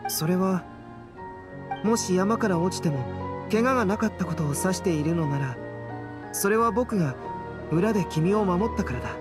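A calm young scholar in an anime game. He speaks thoughtfully and gently, with expressive, wide pitch movement, over clear background music. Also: moderate pace, long pauses. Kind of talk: Japanese anime game voice acting.

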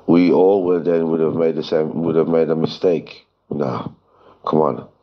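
A man's voice: rumbling low, fairly even intonation, measured pace, muffled recording. A man leaving a voice message, scolding and belittling the listener in a repetitive way, heard as a played-back voice note. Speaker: land seller. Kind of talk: voice message to a customer.

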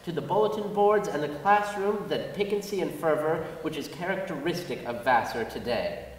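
A man's voice: a little high, slight background noise. Very echoey, distant young man reading formally. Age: young adult. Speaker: reader in a staged dramatic reading. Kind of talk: dramatic reading of historical texts on stage. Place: large concert hall stage, seated at music stand, before an audience. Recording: distant, very echoey concert hall.

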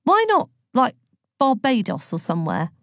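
Woman as guarded schoolboy, slightly lifted pitch. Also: huge pitch swings, moderate pace, no room sound, clean studio sound. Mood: bemused. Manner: defensive, hesitant. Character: guarded, worried, polite English schoolboy.

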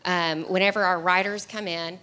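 A woman's voice: lowish voice, fairly even intonation, moderate pace, bright, forceful tone. A young woman guest on a studio talk show, speaking into a handheld mic in an upbeat, chatty way with some fillers. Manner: upbeat, laughs easily, fillers. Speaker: guest promoting an attraction. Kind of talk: casual promotional chat. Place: diner-style TV studio set with audience.

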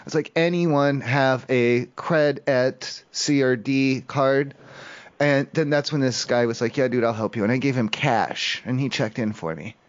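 A man making a prank call, rambling haltingly as a naive, stoned-sounding caller. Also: medium pitch. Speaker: prank caller, show host. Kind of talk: improvised prank phone call. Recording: muffled, dull lo-fi call audio.

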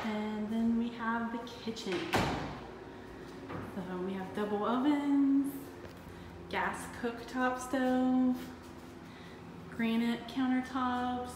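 A middle-aged woman giving a slow, plain walkthrough of an empty townhouse, filmed on a handheld phone. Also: fairly high pitch, fairly even intonation, background noise. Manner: slow, plain, pausing between rooms. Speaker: parent giving a house tour. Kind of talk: casual walkthrough narration. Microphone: phone camera held while walking. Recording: echoey empty rooms with long reverb.

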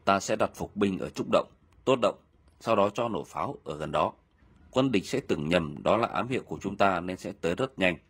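A man voicing a stern medieval army general in an animated historical film, firm and authoritative. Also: low voice, normal speed, breathy edge, heavily acted. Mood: confident.

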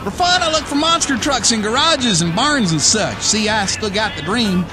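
A man's voice: high voice, expressive, normal speed, music in the background. An actor playing a cocky, whiskey-drinking American redneck survivor, telling his story in folksy slang in a radio play. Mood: folksy, wry. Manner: folksy, slangy drawling wisecracks. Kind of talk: radio play monologue narration.

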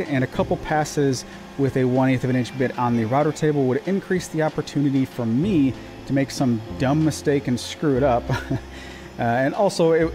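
A man's voice: mid-range voice, noisy background. Plain-spoken male woodworker narrating. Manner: plain, practical, with small restarts and fillers. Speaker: woodworker explaining his build. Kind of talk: voice-over narration of a workshop project.

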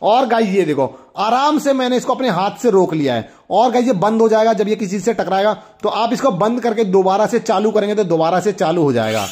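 A man's voice: high-pitched, animated, fairly quick, hardly any pauses, darkish tone. A young man hosting a casual toy review, demonstrating things enthusiastically and often repeating himself. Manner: enthusiastic, repetitive, exclaiming. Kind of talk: casual product demonstration to viewers.

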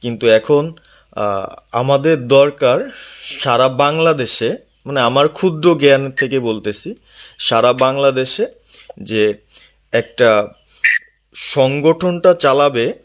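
A man speaks over a muffled, low-fidelity phone call. His pitch is ordinary for a man. He reports as a local activist to a superior, respectful and somewhat hesitant. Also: slow, pauses often. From Bangladesh. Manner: deferential, hesitant. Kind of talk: informal phone report to a leader. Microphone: telephone.

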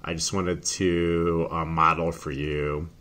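A man explaining an assignment as a teacher, in a deliberate, careful manner. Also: low voice, level tone, bright, forceful tone. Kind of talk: instructional screen explanation for students.

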